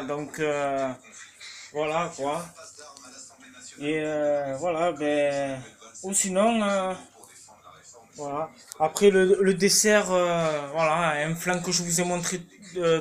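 Man, 30s, Marseille accent, slightly high voice, rambling home-kitchen vlogger on an arm's-length phone. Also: fairly bright tone. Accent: Marseille French. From Marseille, France. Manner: rambling, chatty, many fillers and restarts. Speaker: cooking vlogger. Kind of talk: casual chat to camera. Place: small home kitchen, indoors. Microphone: phone camera held at arm's length.

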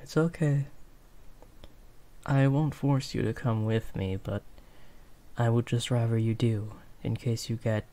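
A man voicing a gentle, protective werewolf in an improvised comfort roleplay, speaking softly, soothingly and a little hesitantly. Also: medium pitch, fairly even intonation, a little slow, pauses often, lightly acted. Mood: gentle, reassuring. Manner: soft, soothing, hesitant, often near whispering. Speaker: roleplay audio performer.

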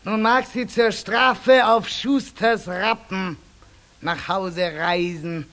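A woman playing a stern, thundering old chief witch in a children's radio play, imperious and deliberate, with a creaky, sharp voice. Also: mid-range voice, steady tone. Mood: stern, decisive.

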